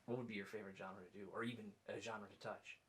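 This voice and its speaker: A man's voice: lowish voice, flat delivery, fast, a bit bright. A man hosting a casual interview, chatty and loose, with frequent fillers and restarts. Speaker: podcast host.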